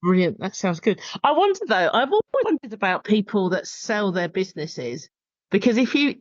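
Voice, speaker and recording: A woman's voice: medium pitch, sing-song, moderate pace, no echo. A woman hosting a podcast interview, encouraging and conversational with her guest. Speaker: podcast host. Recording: dry, dull lo-fi call audio.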